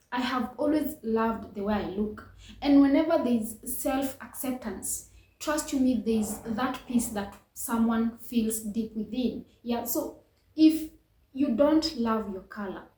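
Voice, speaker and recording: A woman's voice: on the high side, a little mellow, echoey room, poor audio. A woman hosting a reaction channel, talking earnestly and reflectively with many fillers and restarts. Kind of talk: casual reaction commentary.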